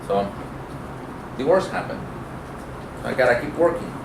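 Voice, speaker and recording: A middle-aged man teaching programming in an informal lecture, speaking haltingly as he thinks aloud while demonstrating. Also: mid-range voice, animated. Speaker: programming instructor. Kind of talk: informal classroom lecture with live coding demo. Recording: roomy, distant mic, clear background noise.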